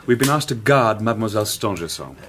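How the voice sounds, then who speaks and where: A man playing a sharp, impatient young amateur detective in a radio drama, with a blunt, wry manner. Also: fairly low pitch, lively intonation, quick pace, a little hiss or hum. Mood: brisk, wry. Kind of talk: British radio drama acting.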